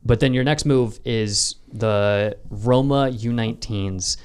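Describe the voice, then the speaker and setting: A man's voice: medium pitch, slow pace, clean tone. A man hosting a casual interview, asking questions in a jokey way with many ums. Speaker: podcast host.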